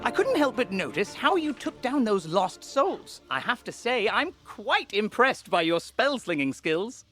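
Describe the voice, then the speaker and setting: Young female student wizard, friendly, highish lively voice, video game dialogue. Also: unhurried, few pauses. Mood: friendly, impressed. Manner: perky, expressive, chatty. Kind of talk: video game character acting. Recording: faint music behind.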